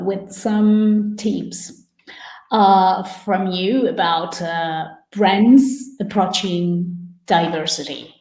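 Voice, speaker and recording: A woman moderating a panel, asking questions hesitantly with many filler pauses. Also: fairly low pitch, mellow, reverberant, rough recording.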